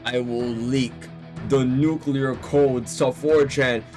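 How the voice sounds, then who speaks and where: A man voicing a vengeful, megalomaniac reindeer villain in grave, slow, portentous speech. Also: mid-range voice, fairly even intonation, music in the background, natural voice. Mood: grim, menacing.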